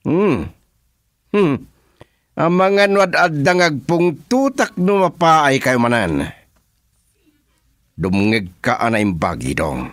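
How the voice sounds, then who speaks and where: A man's voice: mid-range voice, animated, slow, pauses often, no room sound, studio quality. A man playing a commanding, insulting crime boss in a radio drama. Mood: menacing. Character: scornful male crime boss ordering sabotage.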